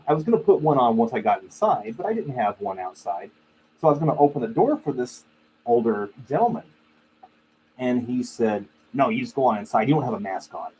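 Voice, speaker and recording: A man's voice: mid-range voice, a little mellow. A man hosting a casual livestream, talking to viewers in a conversational, opinionated, anecdotal way. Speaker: livestream host. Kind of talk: casual chat with live viewers. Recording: clearly noisy live stream audio.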